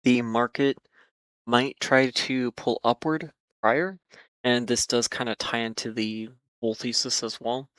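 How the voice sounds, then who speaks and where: A man talking casually through stock charts, hoarse and losing his voice, with many fillers and repeated hedges. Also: medium pitch, fairly even intonation, unhurried, a bit bright, dry recording. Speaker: market analysis host. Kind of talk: casual trading chart commentary.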